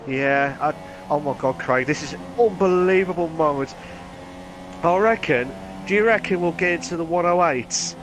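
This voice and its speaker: A man co-commentating on a sim racing event, excitable and prone to loud exclamations. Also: fairly high pitch, moderate pace, music in the background, rough recording. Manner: excitable, shouts and exclaims over the action.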